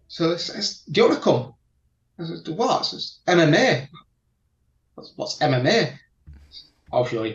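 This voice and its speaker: A man with a Yorkshire accent tells stories in a fairly high voice. He speaks slowly and carefully so that each word comes through. The sound is dull and lo-fi, in a room with plenty of echo. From England (Barnsley, South Yorkshire). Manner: deliberate, laughing storyteller. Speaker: guest martial artist. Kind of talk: casual interview chat. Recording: echoey room, dull lo-fi audio.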